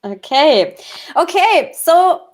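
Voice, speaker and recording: A woman's voice: extremely high pitch, very animated, very slow. A woman with a German accent hosting a livestream over a video call, enthusiastic and chatty, often repeating words and laughing. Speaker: livestream host.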